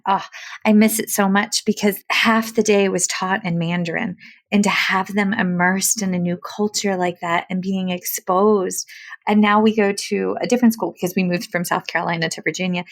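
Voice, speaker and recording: Chatty woman host with a creaky voice. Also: mid-range voice. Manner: chatty, effusive, anecdotal. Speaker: podcast host, speech-language pathologist. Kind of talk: casual chat, interviewing.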